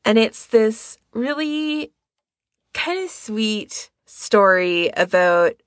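A woman co-hosting a podcast in a casual discussion, with a creaky voice. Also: a little high, lively intonation, drawn-out words, no room sound, studio quality. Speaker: podcast co-host.

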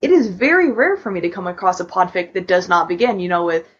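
A woman co-hosting a podcast, talking casually in a halting, conversational way. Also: fairly low pitch, very animated, slightly rough, dull audio. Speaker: podcast co-host. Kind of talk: casual discussion.

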